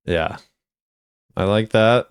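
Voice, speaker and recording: A man's voice: lowish voice, slow pace, smooth, no echo, studio quality. A man giving casual, laid-back reaction commentary on game voice lines in a musing way.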